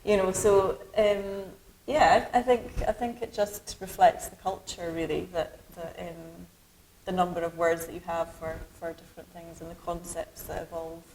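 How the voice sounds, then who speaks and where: A middle-aged Scottish woman, a film director, answering interview questions thoughtfully with many pauses and restarts. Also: fairly low pitch, steady tone, a little mellow, slight background noise, rough recording. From Scotland. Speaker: documentary director.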